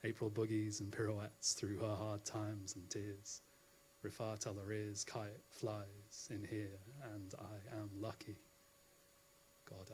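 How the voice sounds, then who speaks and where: A man's voice: on the low side, breathy edge, low-quality recording. A man performing spoken-word poetry as a poet at a live event, with an effusive, florid and theatrical delivery.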